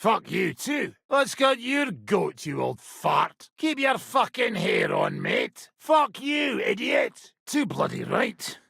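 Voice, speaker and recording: An actor playing a foul-mouthed, boozy medieval fighter in a video game, with a British accent and a rough, gravelly voice. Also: male voice, very high-pitched, big pitch swings, slow, hardly any pauses, bright, forceful tone, dry recording, studio quality, full character voice. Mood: crude irritation. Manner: coarse, brash, swearing banter.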